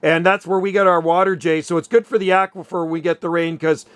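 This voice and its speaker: A man's voice: high voice, fairly even intonation, fast talker, smooth, no room sound, clean studio sound. A man chatting casually and enthusiastically as a radio hobbyist in his radio room at home. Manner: enthusiastic, informal.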